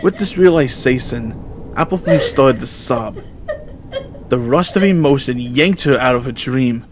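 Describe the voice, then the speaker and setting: A man's voice: medium pitch, lively intonation, unhurried, a little hiss or hum. Man solemnly narrating a horror story.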